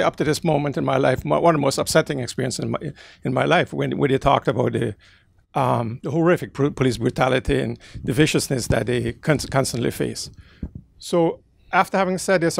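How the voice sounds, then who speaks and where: A man, from the Caribbean, asking the author a long question from the audience, halting and restarting as he searches for words. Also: on the high side, gravelly, no echo. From Caribbean, raised in the Netherlands. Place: bookstore event space.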